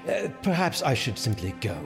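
An actor playing a smug, teasing medieval sheriff in an audio drama, with wry, formal and sometimes halting speech. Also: male voice, mid-range voice, big pitch swings, gravelly, over loud music. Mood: indignant. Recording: long reverberant hall.

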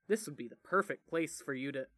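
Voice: mid-range voice, a little fast, fairly bright tone, dry recording, lightly acted. A narrator voicing a joking, slangy young brother who rambles and teases melodramatically in an audio story. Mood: mock-dramatic.

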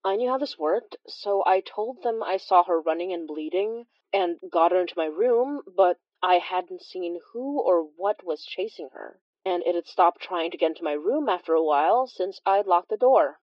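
Actress as low-voiced, haunted woman narrator, roomy sound. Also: expressive, moderate pace, few pauses, dull audio, natural voice. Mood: recounting, matter-of-fact. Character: Filipino immigrant woman healer, wry, haunted, observant narrator. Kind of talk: first-person horror audio drama narration.

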